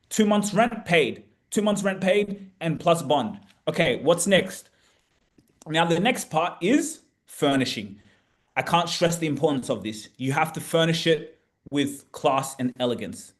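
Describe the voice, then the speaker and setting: A young Australian man in a casual vlog, telling a story with lively, repeated emphasis as a property coach. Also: on the high side, measured pace. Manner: animated, repeats words for emphasis.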